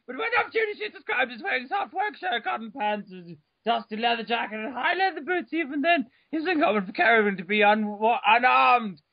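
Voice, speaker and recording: A man giving a mock-dramatic reading of an article, over the top, bursting into shouts and stumbling over words. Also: extremely high pitch, animated, fairly quick, creaky, few pauses, dry recording, dull audio. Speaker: reader.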